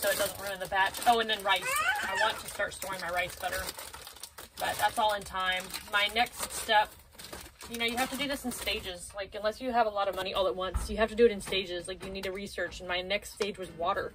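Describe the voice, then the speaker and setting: A woman's voice: mid-range voice, steady tone, a little fast, background noise. A woman in her thirties talking casually and practically as she organizes a home pantry, thinking aloud, recorded by a camera a few feet away. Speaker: prepper vlogger. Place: small home pantry with shelves, indoors. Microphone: camera on a low shelf, a few feet away.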